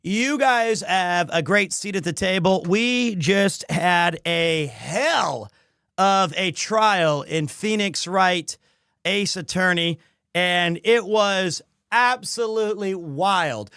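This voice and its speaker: High-pitched excitable man streaming, unhurried pace. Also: clear, resonant tone, no echo, studio quality. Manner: excitable, repeats phrases, greets viewers by name. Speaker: live streamer. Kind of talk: casual game stream chat with voice acting. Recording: music faintly behind the voice. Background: faint music.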